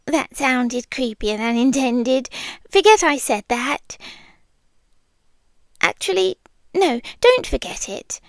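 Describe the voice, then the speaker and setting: A British woman playing a shy, anxious, lovestruck monster from under the bed in an intimate ASMR roleplay, speaking softly and awkwardly, often in a whisper. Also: sits high, dry recording, exaggerated voice. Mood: flustered, earnest.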